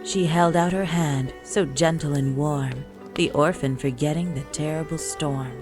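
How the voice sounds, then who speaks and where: A woman storyteller reading a rhyming Christmas poem in a measured, lilting delivery. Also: low-pitched. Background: music.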